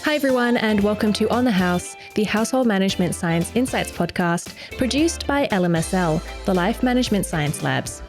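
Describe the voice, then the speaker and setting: A woman's voice: medium pitch, expressive, brisk, few pauses, music in the background. A woman from Australia hosting a podcast, reading a scripted welcome and then asking questions in a friendly, slightly hesitant way. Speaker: podcast host. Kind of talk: scripted intro then casual interview.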